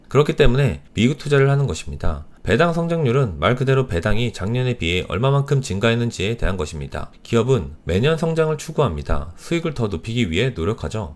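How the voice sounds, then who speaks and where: A man with a hoarse voice from a cold, explaining beginner investing terms step by step in a tutorial voice-over. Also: mid-range voice. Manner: clear explanatory, addresses beginners. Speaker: finance channel host.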